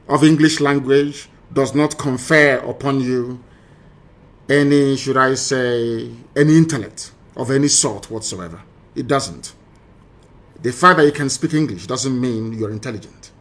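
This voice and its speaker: A man's voice: mid-range voice, fairly bright tone. A man with a Nigerian accent delivering an impassioned political monologue, emphatic and scornful, piling up rhetorical questions and repeating phrases. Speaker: political broadcaster. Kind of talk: impassioned political monologue commenting on archive audio.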